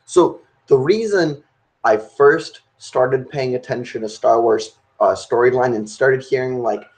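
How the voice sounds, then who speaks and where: A man's voice: mid-range voice, a little slow, a little mellow. Young guy hosting a podcast, rambly, lots of uhs, echoey room. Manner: rambling, full of fillers and restarts while arguing a point. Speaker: podcast host. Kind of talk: casual chat. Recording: roomy echo.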